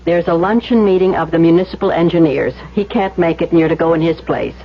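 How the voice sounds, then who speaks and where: An actress playing a concerned woman who gently presses and coaxes, in a 1970s radio drama. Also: fairly low pitch, fast. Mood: matter-of-fact. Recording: dull 1970s radio recording.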